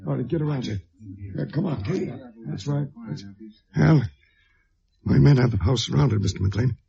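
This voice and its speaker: An actor playing a gruff, folksy male lawman in charge of a raid in 1950s-style radio drama, giving orders with authority. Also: mid-range voice, moderate pace, dark tone. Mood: businesslike, wary. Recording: dull, slightly noisy vintage radio recording.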